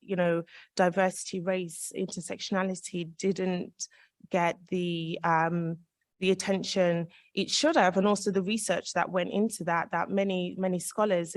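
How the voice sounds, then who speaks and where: A woman academic talking unscripted on a panel in a halting, reflective way. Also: fairly low pitch, no room sound.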